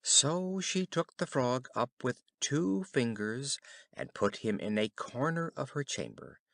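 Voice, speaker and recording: Guy reading a fairy tale audiobook, lively and expressive, mid pitch, dry close sound. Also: a little slow, no echo. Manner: clear, careful storytelling. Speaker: audiobook narrator.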